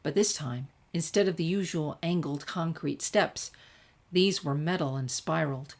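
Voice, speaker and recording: A woman's voice: sits low, normal speed. A woman reading a story aloud as narrator, in a scripted narration style. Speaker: narrator, fan fiction reader.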